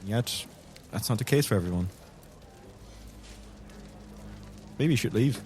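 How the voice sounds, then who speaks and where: A man's voice: fairly low pitch, animated, fast talker, a little mellow, a little hiss or hum, natural voice. A man playing a blunt, probing investigator in improvised tabletop roleplay, pressing with repeated questions. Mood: cold, blunt.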